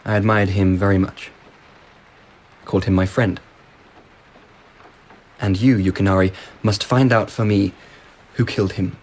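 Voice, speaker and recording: An actor playing a curious, easily delighted emperor in a radio drama, genial but commanding. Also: male voice, sits low, little pitch movement, a little slow, pauses often, a little mellow, rough recording.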